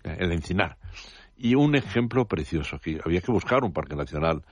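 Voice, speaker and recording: A man's voice: low voice, lively intonation, fairly quick, slightly rough, no echo. An older man with a Spanish accent, explaining nature topics as a radio guest in a professorial, digressive way, with touches of humor. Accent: Spanish from Spain. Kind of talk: casual radio chat. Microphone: studio mic.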